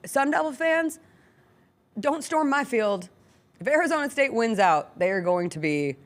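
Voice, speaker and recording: A woman hosting a sports talk show, giving casual, opinionated and analytical commentary with attention to detail. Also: on the low side.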